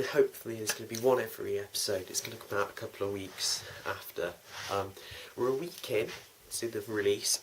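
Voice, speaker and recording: A young man talking casually and in a rambling, informal way as host of a behind-the-scenes vlog. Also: lowish voice. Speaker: host, writer and producer of a fan audio drama. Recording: noisy amateur recording with clicks.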